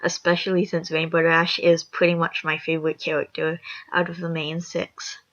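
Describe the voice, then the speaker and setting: A young woman with a raspy voice, reading a horror fan story aloud, rambling and apologetic with chatty asides. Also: on the low side, completely flat delivery, normal speed, a bit bright. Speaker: story reader. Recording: lo-fi dull recording.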